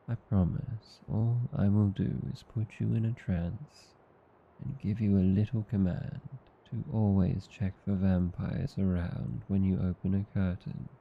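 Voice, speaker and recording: A man with an Australian accent playing a gentle, reassuring vampire husband in an intimate ASMR roleplay, tender and soothing. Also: lo-fi sound, heavily acted. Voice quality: low, dark, gravelly. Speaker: voice actor.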